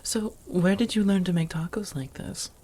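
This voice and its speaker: A soft-spoken woman acting an affectionate, refined female vampire roommate in an ASMR roleplay, often near a whisper, with formal phrasing and coy pet names. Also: on the low side, normal speed, breathy edge. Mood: warm, impressed.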